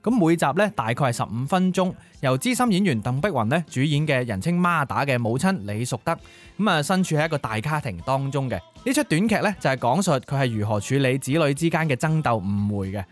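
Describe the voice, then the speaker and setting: A man's voice: mid-range voice, animated, fairly quick. A man speaking Cantonese co-hosts a radio music show, narrating pop history in an informative style.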